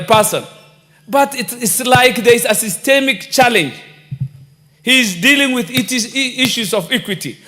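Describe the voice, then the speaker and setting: A Kenyan man with a notably high voice makes an impassioned speech to parliament, piling up rhetorical questions and turning back to the Speaker again and again. He speaks into a desk microphone, and the chamber's long reverb trails behind every phrase. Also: sharp tone. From northern Kenya. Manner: rhetorical, repeating appeals to the Speaker. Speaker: member of parliament.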